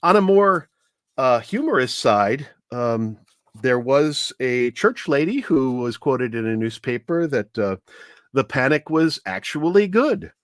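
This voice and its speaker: A man giving an informal, explanatory history talk with anecdotes, speaking in a measured, hesitant way as a commentator. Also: mid-range voice, big pitch swings, no echo, studio quality.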